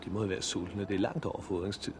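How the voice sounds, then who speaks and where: An actor playing a calm, practical, protective survivor in a 1960s Danish radio drama. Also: male voice, low-pitched, lightly acted. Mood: matter-of-fact. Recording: noisy, clicking 1960s radio recording.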